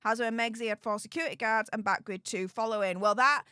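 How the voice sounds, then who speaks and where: A chatty woman with a Yorkshire accent hosts a livestream and reads out her viewers' comments. Her voice sits a touch high and animated, and it sounds dry and close, with no room behind it. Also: fast talker, smooth, no room sound. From Yorkshire, England. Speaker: YouTube host reading live chat. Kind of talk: casual livestream chat.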